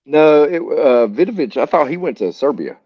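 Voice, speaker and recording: A man's voice: a little high, animated, moderate pace, dry recording, muffled recording. A man hosting a podcast in casual chat, loose and profane with lots of filler words. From United States. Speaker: podcast host.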